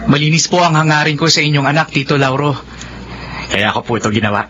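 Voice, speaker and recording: A man's voice: fairly high pitch, fast talker, sharp tone, slight background noise. A man acting an earnest, devoted young suitor in a romantic radio drama, ardent and pleading. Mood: respectful, sincere. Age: young adult. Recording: dull lo-fi audio with music.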